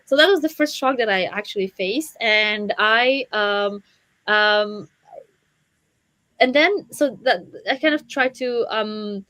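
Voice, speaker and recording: A woman, telling her personal story as a guest speaker in a halting way, often repeating words. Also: medium pitch, sharp tone, no room sound. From Afghanistan and Central Asia. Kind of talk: personal story in a panel interview.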